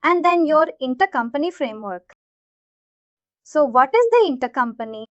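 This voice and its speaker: High pitched lady trainer explaining step by step, repeats stuff, checks you get it, kinda muffled lo-fi sound. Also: animated, normal speed, a bit bright, no room sound. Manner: repetitive, checks understanding often. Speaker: software trainer. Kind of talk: tutorial explanation to learners.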